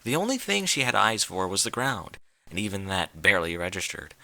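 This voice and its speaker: A man giving an expressive scripted narration of a story, as in an audiobook. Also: low-pitched, brisk, creaky, poor audio.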